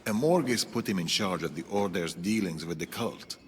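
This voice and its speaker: An actor playing a stern, calculating rebel strategist in a video game, speaking in a measured, commanding way. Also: male voice, low-pitched, animated. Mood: stern, purposeful. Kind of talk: video game cutscene acting. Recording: long reverberant hall, music behind.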